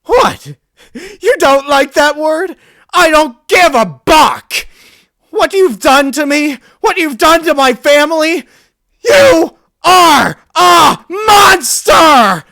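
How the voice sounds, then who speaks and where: A man's voice: very high voice, animated, slow pace, sharp tone, voice pushed up, heavily acted. A man voicing a furious teenage mare who screams hateful accusations, in an audio reading of a story.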